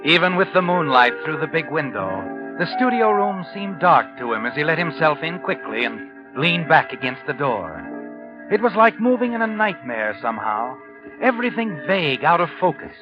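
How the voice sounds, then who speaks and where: Sinister male narrator, 1940s radio mystery, dramatic, highish voice, dull old recording. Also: sing-song, normal speed, music in the background. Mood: tense, hushed.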